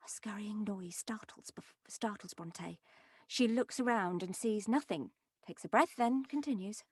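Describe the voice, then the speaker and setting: A woman's voice: mid-range voice, a little slow, breathy edge, no room sound, studio quality. A woman reading stage directions as scripted narration at a table read. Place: online call from indoor recording setups.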